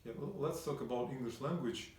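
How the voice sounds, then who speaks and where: A man hosts a talk show in a mid-pitched voice, stumbling and repeating words as he asks questions. He sounds set back from the microphone in an echoey room. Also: a little mellow, slight background noise. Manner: halting, with many repeated words. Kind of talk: casual interview questions. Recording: echoey room, heard from a distance.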